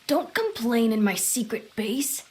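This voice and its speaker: A woman's voice: on the high side, big pitch swings, measured pace, very noisy. A woman playing an earnest, boisterous, good-hearted young Japanese boy in an anime audio drama, blunt and eager. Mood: bossy, friendly.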